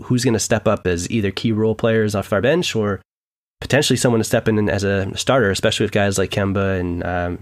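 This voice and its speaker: Podcast guest, man, low voice, measured and rambling. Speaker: guest writer. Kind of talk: explaining his article in a casual podcast chat.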